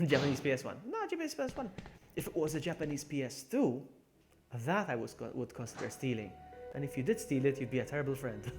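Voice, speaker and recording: A man's voice: mid-range voice, big pitch swings, brisk. Chatty male streamer over game music. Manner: chatty, laughs often, reads and answers chat, mutters to himself while playing. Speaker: streamer playing a video game. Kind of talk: casual live-stream gameplay commentary.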